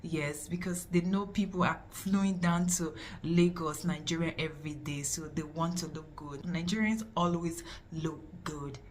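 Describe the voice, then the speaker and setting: A woman's voice: sits low, steady tone, moderate pace, hardly any pauses, slight background noise. A woman co-hosting a casual vlog conversation, agreeing emphatically and explaining points at length.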